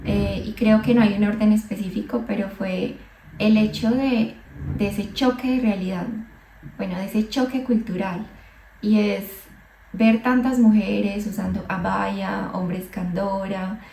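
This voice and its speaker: A young woman from Colombia, a psychologist, telling her own migration story slowly and thoughtfully, with hesitant restarts. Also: medium pitch, steady tone, gravelly, mellow, recorded from a distance, a little hiss or hum. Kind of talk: reflective personal monologue. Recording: echoey room, voice a bit off mic.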